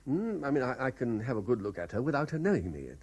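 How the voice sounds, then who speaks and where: Troubled british detective in an old 70s radio play, low mellow voice, a bit husky, hesitant. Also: male voice, sing-song. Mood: uneasy, hesitant. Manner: hesitant, stammering in talk, measured when dictating. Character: haunted, reluctant male private investigator, hesitant and troubled. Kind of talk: radio drama acting. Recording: 1970s radio drama broadcast.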